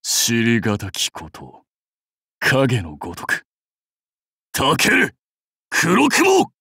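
Samurai warlord for anime game: proud, battle-hungry, archaic declamation, unhurried delivery, very dynamic pitch. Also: male voice, expressive, a bit bright, slightly rough, dry recording, clean studio sound, full character voice. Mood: battle-ready, commanding. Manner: grand, archaic, declamatory warrior speech.

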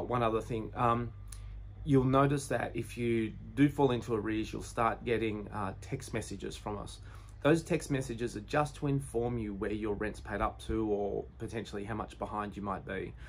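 An Australian man in his forties speaks straight to camera outside a brick house, his voice sitting a little low. He talks in a plain, reassuring way, loose and unscripted, with faint outdoor ambience behind him. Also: slight background noise. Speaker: real estate agency owner. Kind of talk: direct-to-camera business update. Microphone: phone or camera at close range.

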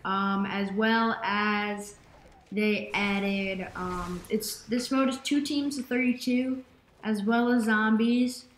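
A young woman giving casual gameplay commentary, rambling with many fillers, her voice slightly raspy from dehydration. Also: mid-range voice, slow, echoey room, a little hiss or hum, low-quality recording. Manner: rambling, filler-heavy, excitable. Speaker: gaming YouTuber.

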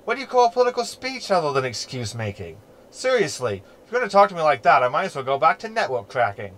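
Man as a snarky, lively-pitched hologram companion. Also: fast talker, slight background noise. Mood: sardonic. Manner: glib, verbose, sardonic. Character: snarky, meddling male hologram ship companion. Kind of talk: sci-fi sitcom audio drama acting.

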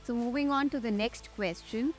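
A young woman with an Indian accent hosts as an airline pilot. Her voice sits a little high, and she delivers scripted questions and explanations over background music. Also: normal speed. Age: young adult. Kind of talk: scripted interview hosting and presenting. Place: large glass-walled airport tower room. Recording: music under the voice.

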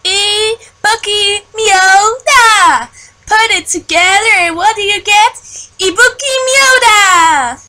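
A woman voicing a hyperactive teenage girl musician in a game fan dub, shrill and exuberant, shouting out her lines. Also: slow pace, heavily acted. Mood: manic glee.

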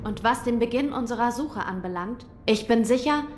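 A young lordly boy voiced by a woman speaks gravely and formally in an audio drama. He sounds earnest and resolute, with long reverb around him and faint rumble behind. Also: moderate pace, lightly acted. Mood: solemn.

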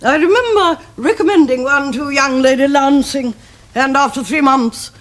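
An actress playing a formidable, haughty older Victorian aunt in a period comedy, with an upper-class English accent and precise, pompous diction. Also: female voice, high voice, normal speed, close to an everyday voice. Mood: appraising, commanding. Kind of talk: stage-like period comedy acting.

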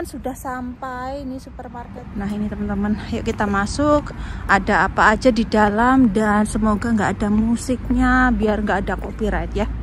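A woman's voice: fairly high pitch, slight background noise, rough recording. A woman, chatting casually to viewers as she vlogs a shopping trip. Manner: chatty, addressing viewers as friends. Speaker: family vlogger. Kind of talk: casual shopping vlog chat. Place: outdoors by roads and car parks, then inside a supermarket. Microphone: handheld phone or camera at arm's length.

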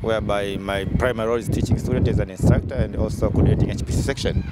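A man's voice: on the low side, fairly even intonation, racing, heavy background noise. A man from Tanzania, a university instructor, explaining his project carefully and formally outdoors. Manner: careful, formal, repeats words. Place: outdoors on a sunny campus. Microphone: interviewer's handheld recorder.

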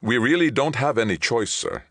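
A man's voice: low voice, sing-song, normal speed, no room sound, studio quality, voice pushed up. Man voicing a tight-voiced, terse starship captain. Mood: grim, urgent. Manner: tight, clipped military delivery. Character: tense, terse male starship captain under pressure. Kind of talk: audiobook character dialogue.

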